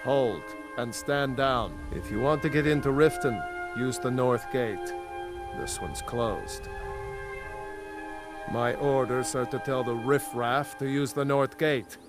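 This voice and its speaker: A man's voice: medium pitch, slow pace, close to an everyday voice. An actor playing a curt, dismissive, obstinate male city guard in a fantasy video game. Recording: loud game music behind.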